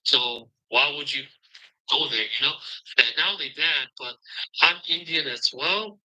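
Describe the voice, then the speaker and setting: A young man giving a casual testimonial as a coaching student, speaking haltingly with many "you know"s. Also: medium pitch, sharp tone, husky, low-quality recording.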